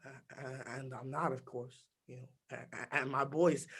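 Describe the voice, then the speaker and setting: A man's voice: mid-range voice, fairly even intonation, normal speed, fairly bright tone, slightly rough. An actor playing a nervous seventeen-year-old boy who stammers, repeats himself and leans on fillers in a rambling monologue. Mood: hushed. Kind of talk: dramatic monologue.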